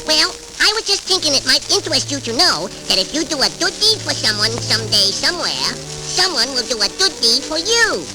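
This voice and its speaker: Casting: innocent, clever little cartoon bird, babyish speech, very high and lilting, old record. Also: male voice, very animated, fairly quick, few pauses, low-quality recording. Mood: pleading, earnest. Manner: sweet, persuasive. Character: tiny, innocent, clever baby cartoon canary. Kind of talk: vintage children's cartoon record acting. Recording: vintage 78rpm record with music.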